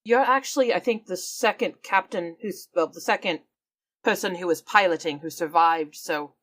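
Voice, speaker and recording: A woman's voice: fairly low pitch, moderate pace, fairly bright tone. Woman player in a tabletop game. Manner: describes her character's actions and talks in character. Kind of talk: tabletop roleplay, in character and out.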